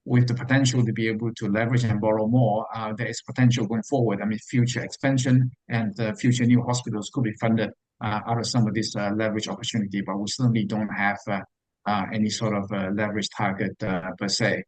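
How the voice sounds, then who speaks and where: A man speaking as a company finance executive, answering investor questions in a halting, hesitant way with repeated words. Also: on the low side, little pitch movement, dry recording, rough recording.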